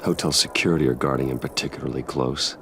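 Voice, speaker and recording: An actor playing a brooding vigilante billionaire in a video game, grave and measured. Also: male voice, a bass voice, fairly even intonation, fairly bright tone. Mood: guarded, focused. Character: brooding younger billionaire vigilante, guarded and resolute. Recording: clear background noise and ambience.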